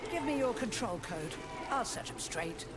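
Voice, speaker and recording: Bossy mother, very animated, blunt scolding, video game, loud music behind. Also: a little high, normal speed. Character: brash, bossy, no-nonsense mother. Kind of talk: scripted video game acting.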